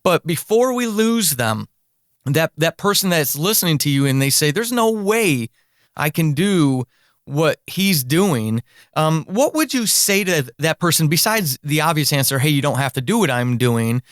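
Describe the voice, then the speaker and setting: A man's voice: a little high, big pitch swings, moderate pace, dry recording, clean studio sound. A man hosting a conversational interview podcast, thinking aloud and rephrasing as he goes. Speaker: podcast host.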